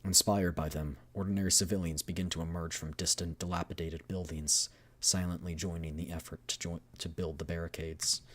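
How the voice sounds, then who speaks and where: A man streaming a dramatic read-aloud of a video game story, with measured, expressive storytelling. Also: low voice.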